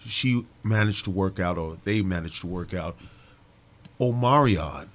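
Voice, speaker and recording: A man's voice: deep voice, unhurried. A man talking earnestly and emphatically in a casual interview. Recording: background chatter and faint noise.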